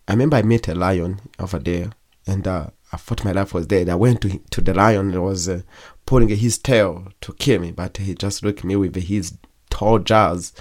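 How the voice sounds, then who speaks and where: A young man from Congo, whose first language is Kigogwe, telling his own story as an interview guest in halting speech full of pauses and restarts. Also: on the low side, darkish tone. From Democratic Republic of Congo. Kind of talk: personal testimony in an interview.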